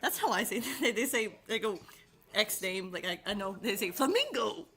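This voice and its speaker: A woman at a middle pitch chats loosely with friends over a home mic on a voice call. She rambles from one thought to the next, giggles a lot and swears freely. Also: sing-song, moderate pace, fairly bright tone. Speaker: channel host. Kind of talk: casual chat with friends.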